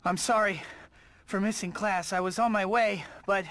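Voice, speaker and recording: An actor playing an apologetic young student who is secretly a hero, with an American accent and a hesitant, earnest manner. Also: male voice, sits high, fairly even intonation, moderate pace, a bit bright, no echo. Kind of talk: video game voice acting.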